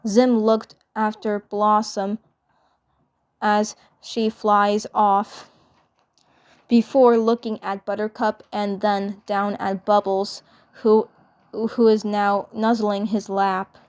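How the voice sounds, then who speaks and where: A woman's voice: medium pitch, level tone, slow, a little mellow, clear, resonant tone. A woman storyteller narrating fan fiction, often repeating her phrases.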